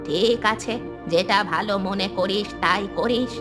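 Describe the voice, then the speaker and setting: A woman voicing an old village great-aunt, plaintive but generous, with a drawn-out delivery in a cartoon dub. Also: mid-range voice, loud music behind the voice, lightly acted. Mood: earnest. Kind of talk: Bengali cartoon dubbing.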